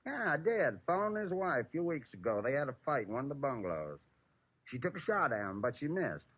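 An actor with an American accent playing a plainspoken, tough manager of a rough bungalow court in an old-time radio drama. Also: male voice, medium pitch, sing-song, brisk, a bit bright. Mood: plainspoken, obliging. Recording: muffled vintage radio recording.

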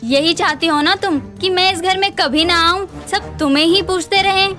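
Woman acting high, bright, emphatic cartoon family woman. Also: normal speed, soft background music. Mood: angry, taunting. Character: quick-tempered, dramatic Indian family woman in a cartoon.

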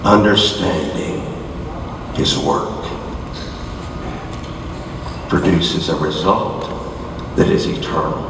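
An older man preaching a sermon, dropping to hushed low lines and rising to shouted high peaks as he moves around a large stage. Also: slow pace, vocal fry, long pauses, background noise. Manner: moves about the stage gesturing while preaching. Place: large dark auditorium stage. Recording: echoey hall from far off.

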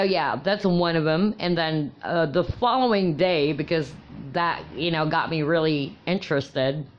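A woman's voice: deep voice, highs cut off. A woman whose first language is Tagalog, casually telling a personal story with frequent laughs and fillers. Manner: chatty, laughing often, many fillers and restarts. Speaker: crystal vlogger.

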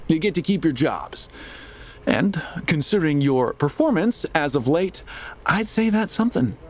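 A condescending boss in an audio drama. The voice is somewhat high and very animated, delivering formal office-speak in a smooth, superior manner. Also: male voice, normal speed. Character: smooth-talking, condescending corporate manager.

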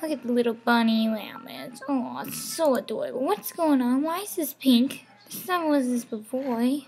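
A woman's voice: sits high, moderate pace, a little mellow. A young woman losing her voice, chatting casually over gameplay with rambling, half-whispered asides from a webcam at home. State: losing her voice, sore throat. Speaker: gaming channel host. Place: home room.

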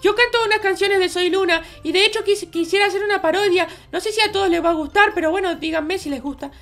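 A performer voicing an excitable baby boy hero in a Minecraft roleplay, with a high, childlike voice and stammering exclamations. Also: fast. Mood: cheerful. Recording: music behind voice.

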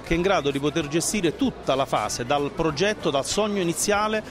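A man's voice: fairly high pitch, a little fast, noisy background. A middle-aged man explaining a business as an interview guest, speaking into a handheld microphone. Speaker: franchise consultant, interview guest. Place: trade fair stand indoors. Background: background music.

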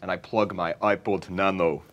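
A man at a tabletop game, his voice slightly low. He talks in a playful, halting way while describing his character's actions. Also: steady tone, very noisy. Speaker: player.

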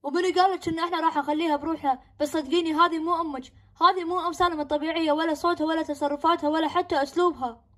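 A woman playing a stern, worried father in a homemade family skit, quick and exasperated. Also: extremely high pitch, steady tone, fairly bright tone. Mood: alarmed.

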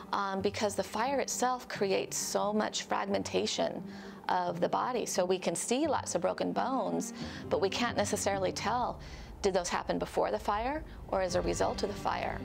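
A middle-aged woman from a forensic center giving calm, explanatory interview answers in an office, on a lapel mic. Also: mid-range voice, creaky. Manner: explanatory, even-paced. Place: office with bookshelves. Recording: interview with music underneath.

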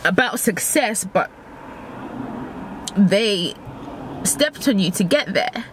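A woman's voice: mid-range voice, expressive, slow. A young adult woman talks candidly to camera in a slangy, rambling way, repeating phrases for emphasis. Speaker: vlogger. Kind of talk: candid casual talk to camera. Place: inside a car, in the driver's seat. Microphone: camera mounted near the dashboard. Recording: loud car and outdoor noise.

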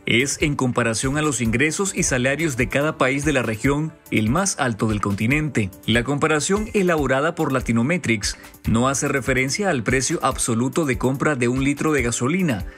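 A man reading a scripted news voice-over in a steady, formal delivery. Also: mid-range voice, normal speed, few pauses, soft background music. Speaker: news narrator.

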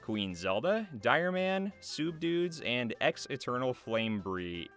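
A man's voice: medium pitch, big pitch swings, slow pace, a bit bright. A young adult man, a voice actor, speaking casually and earnestly to viewers in a slightly hesitant way. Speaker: voice actor and video creator. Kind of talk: casual thank-you address to camera, loosely scripted. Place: small foam-treated recording booth. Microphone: large studio microphone close by. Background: music.

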